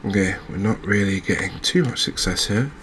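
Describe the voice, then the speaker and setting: A man giving soft-spoken ASMR commentary as he plays a video game, in a measured, gentle, chatty manner. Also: lowish voice, slight background noise. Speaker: host playing a fishing video game.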